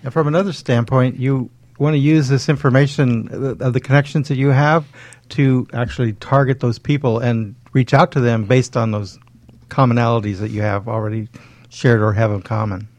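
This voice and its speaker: A man giving explanatory advice as a guest expert, measured and somewhat formal in his wording. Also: mid-range voice, steady tone, a little mellow, no room sound.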